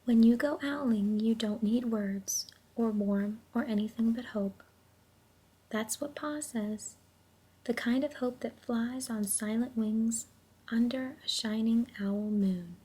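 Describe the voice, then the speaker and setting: A woman reading a children's story aloud in a gentle, hushed, unhurried way, pausing to put questions to her listeners. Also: fairly high pitch, slightly rough, low-quality recording. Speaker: storybook reader.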